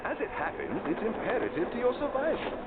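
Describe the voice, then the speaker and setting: A man's voice: high-pitched, wildly swooping pitch, brisk. A man playing a fussy, formal scholar explorer in a video game, speaking precisely and insistently. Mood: insistent, reassuring. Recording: tinny band-limited game audio with loud music.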